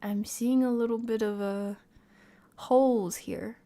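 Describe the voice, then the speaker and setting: A woman hosting a livestream, giving casual, reflective commentary and talking with her chat in a conversational, measured way. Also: fairly high pitch, steady tone, mellow. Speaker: streamer, host.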